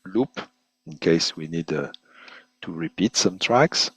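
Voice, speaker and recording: Man, webinar presenter, unhurried pace, hesitant step-by-step phrasing, repeated words, close dry sound. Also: deep voice, expressive, husky, dry recording. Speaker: product presenter giving a software demo. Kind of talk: webinar demonstration, unscripted walkthrough.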